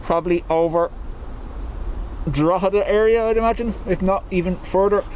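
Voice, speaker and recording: A man's voice: high voice, moderate pace, a little mellow, slight background noise, low-quality recording. An Irish man hosting a live stream, chatting casually with viewers and laughing easily as he answers their messages. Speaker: livestream host. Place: outdoors beside an airport.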